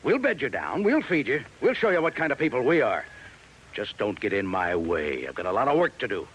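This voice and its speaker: A hot-headed, defensive rancher in an old radio drama. He is blunt and suspicious, with widely swinging pitch. Faint noise sits under the old recording. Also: very animated, a little fast, a bit bright, low-quality recording. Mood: irritated. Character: gruff, hot-tempered, defensive male cattle rancher. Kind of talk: 1950s radio drama acting.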